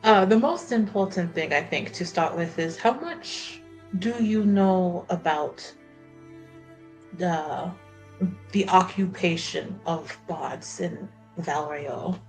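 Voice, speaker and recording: A woman's voice: on the low side, slow, poor audio. A woman playing a tabletop roleplaying game, improvising dialogue with hesitant, careful phrasing. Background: music behind the voice.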